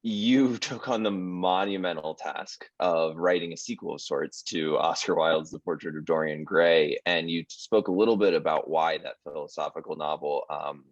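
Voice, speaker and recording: An American man moderating a casual panel discussion, earnest and halting, with many fillers. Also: a bass voice, no room sound, muffled recording. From United States.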